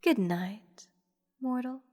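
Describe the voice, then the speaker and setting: A woman voicing a playful, haughty goddess in an intimate fantasy audio roleplay, teasing and condescending toward a captive mortal in measured, theatrical speech. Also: a little high, noisy background. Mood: gleeful, condescending. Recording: long reverb with music behind. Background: orchestral music.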